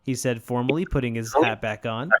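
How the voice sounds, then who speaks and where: Young man narrating, middling pitch. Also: a little fast. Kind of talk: casual comedic story read-aloud.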